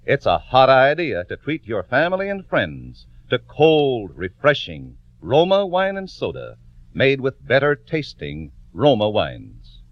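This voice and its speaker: A male announcer selling emphatically in 1940s radio style. His mid-pitched delivery is extremely animated, on a dulled vintage recording. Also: a little slow, no room sound. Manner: polished, emphatic salesmanship. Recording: dry, muffled old radio recording.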